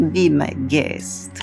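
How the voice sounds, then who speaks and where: A woman's voice: fairly low pitch, gravelly, heavily acted. A woman playing a confident, vengeful fighter in an audio drama, dramatic and resolute. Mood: vengeful, amused.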